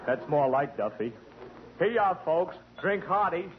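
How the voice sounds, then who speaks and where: Gruff bartender on tinny old radio. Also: male voice, a little high, expressive, a little slow. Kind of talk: 1940s radio comedy acting. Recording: thin, hissy 1940 radio recording.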